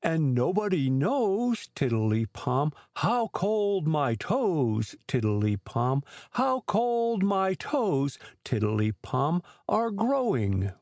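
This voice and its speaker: Slow, earnest storybook bear voiced by a woman. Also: low-pitched, very animated, darkish tone, dry recording, exaggerated voice. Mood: singsong humming. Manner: ponderous, earnest. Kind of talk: dramatized children's storybook reading.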